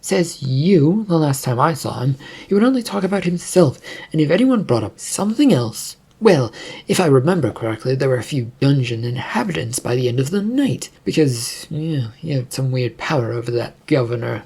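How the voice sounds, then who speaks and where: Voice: mid-range voice, animated, normal speed, vocal fry, dark tone, slightly rough. A performer voicing a bold, sly, slightly haughty female spellcaster in a fantasy story. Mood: defiant, scornful.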